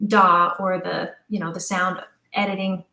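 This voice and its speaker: A woman's voice: lowish voice, flat delivery, a little slow, sharp tone. Woman chatting informally, roomy echo. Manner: chatty, informal, thinking aloud. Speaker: audio drama writer and director, interview guest. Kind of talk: conversational interview explanation.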